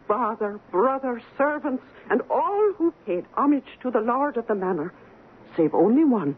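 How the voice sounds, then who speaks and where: High-voiced, brooding Highland Scotswoman. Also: breathy edge, heavily acted. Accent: Scottish Highland. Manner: grave, archaic, dramatic phrasing. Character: stern, brooding old Highland Scottish woman, superstitious servant. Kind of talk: radio drama storytelling. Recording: tinny, noisy 1970s radio recording.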